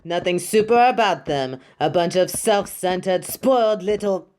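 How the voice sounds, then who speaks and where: A woman's voice: on the low side, lively intonation, a little slow, darkish tone, soft background music. A woman playing a haughty, theatrical costume designer for superheroes in a roleplay audio drama, imperious and dismissive yet fond. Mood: scornful. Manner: theatrical, imperious, dismissive, calls listener darling.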